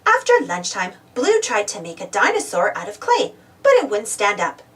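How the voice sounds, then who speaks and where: A woman narrating a children's story aloud in an animated read-aloud style. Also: medium pitch, normal speed, far from the mic. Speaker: storybook reader.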